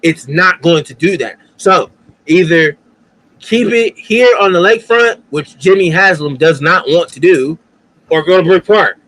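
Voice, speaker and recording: High-voiced man explaining his point. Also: unhurried, a bit bright, low-quality recording. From Cleveland area. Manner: explanatory, agreeable, laughs often. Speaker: podcast co-host. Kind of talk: casual sports chat.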